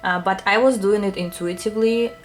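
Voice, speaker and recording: A woman with a Russian accent, a tarot reader answering her viewers' questions in a soft, unhurried casual talk full of small fillers. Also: medium pitch, fairly even intonation, faint music behind.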